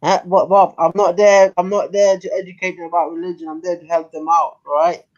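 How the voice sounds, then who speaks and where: A man of thirty with a high voice talks casually in a group chat online. He tends to repeat himself for emphasis, and the sound is dull and lo-fi. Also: level tone, a little fast, a little mellow. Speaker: participant in an online religious debate. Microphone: online voice call. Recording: dull, lo-fi online call audio.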